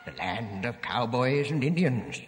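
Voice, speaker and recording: A man's voice: medium pitch, expressive, a little slow, lightly acted. A man playing a coaxing, boastful, sinister uncle figure in an audio drama, savouring his words theatrically. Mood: coaxing, gleeful. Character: sinister grandfatherly storyteller, self-styled uncle, coaxing and boastful. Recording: long echoing reverb, slight hiss.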